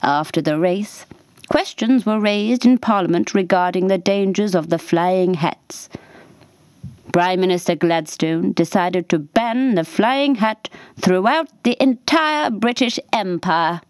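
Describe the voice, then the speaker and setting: A woman narrating a scripted children's story for radio in a measured, deliberate storybook manner. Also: mid-range voice, a little mellow, no echo.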